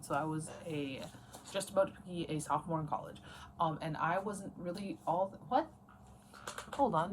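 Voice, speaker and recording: A woman in her late twenties chatting casually in a rambling, self-interrupting way, as in a personal vlog. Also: low voice. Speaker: book and writing vlogger. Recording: noticeable background noise.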